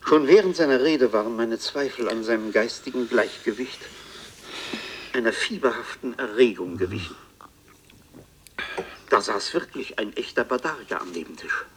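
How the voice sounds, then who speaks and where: An actor playing an awed bookseller who narrates his adventure in a radio play, in literary language with a husky voice. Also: medium pitch, moderate pace, long pauses, a little hiss or hum, natural voice. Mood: awed, excited.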